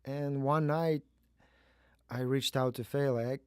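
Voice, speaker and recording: A man's voice: mid-range voice, fairly even intonation, takes it slowly, a little mellow, clear, resonant tone, dry recording, clean studio sound. A man, casually telling his own story as a podcast co-host. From Kazakhstan. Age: 30s.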